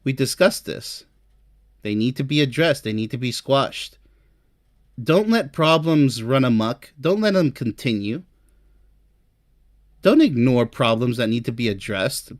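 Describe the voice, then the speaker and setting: A man's voice: mid-range voice, dry recording, studio quality. Emphatic, chatty man giving advice. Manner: chatty and emphatic, addresses listener directly. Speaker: relationship advice host. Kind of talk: informal advice talk to camera, ranking topics.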